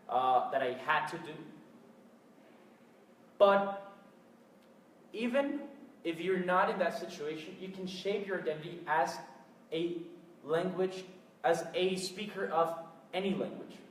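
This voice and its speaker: Young male speaker, native Spanish, earnest and halting with fillers, echoey room, faint ambient noise. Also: fairly high pitch, fairly bright tone. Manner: earnest, hesitant with frequent fillers. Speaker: event speaker. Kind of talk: public talk to an audience. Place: event room with live audience. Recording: echoey room, mic at a distance.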